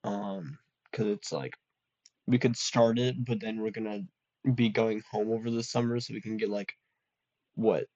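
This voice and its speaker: A man's voice: on the low side, level tone, unhurried, a little mellow, no room sound. A young man in a college dorm room, rambling in a casual solo chat with a slightly nasal voice and lots of ums. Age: young adult. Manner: rambling, full of ums and restarts. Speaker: vlogger. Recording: dull lo-fi dry recording.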